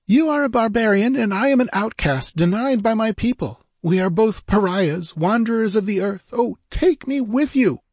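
A man's voice: high-pitched, huge pitch swings, fairly quick, few pauses, darkish tone, breathy edge, no echo, studio quality, voice pushed up, full character voice. A man voicing a passionate, devoted outcast woman in a fantasy audiobook, pleading fervently. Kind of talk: dramatic fantasy audiobook character.

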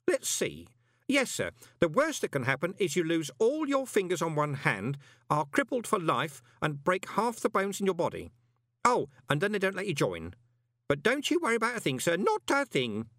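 A man voicing an obsequious, anxious old postman in an audiobook, deferential and folksy, with a hoarse edge. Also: sits high, huge pitch swings, moderate pace, a bit bright, dry recording, studio quality, pitched above the performer's own voice, heavily acted. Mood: cheerfully ominous. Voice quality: hoarse, whispery when urgent. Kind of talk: audiobook character voice.

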